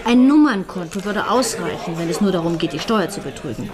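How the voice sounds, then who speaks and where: An actress playing an anxious, questioning widow in a German radio crime drama. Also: female voice, fairly low pitch, expressive, fairly quick, a crowd in the background, natural voice. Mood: wary, reasoning. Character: threatened widow Ruth, anxious, questioning, piecing things together.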